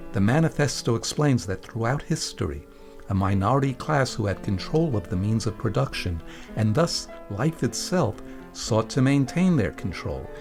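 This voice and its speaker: Man narrating a script over music. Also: lowish voice. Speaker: radio presenter and reader. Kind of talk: scripted narration introducing a dramatic reading.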